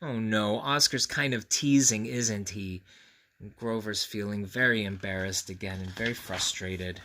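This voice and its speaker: A man reading a storybook aloud to children, expressive and confiding, pausing to put questions to the listener. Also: lowish voice, slight background noise. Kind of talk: animated read-aloud with friendly asides to children.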